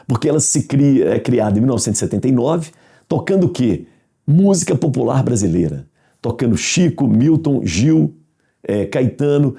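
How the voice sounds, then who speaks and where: A Brazilian man talks in an explanatory interview style. His voice is very dark and gravelly, and he repeats himself to drive points home. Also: mid-range voice.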